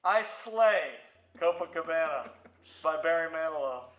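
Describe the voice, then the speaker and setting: A man's voice: on the high side, lively intonation, a little slow, a bit bright. A middle-aged American man giving casual, playful interview answers in an anecdotal, storytelling way. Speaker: museum president and CEO, interview guest. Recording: echoey room, slightly dull audio.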